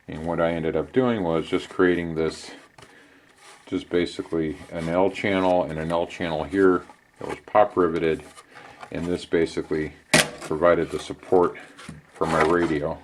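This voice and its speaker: A middle-aged man giving a casual hands-on how-to demonstration in an unhurried, conversational way with hesitant pauses. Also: sits low, a little mellow. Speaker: DIY channel host. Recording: clear background noise.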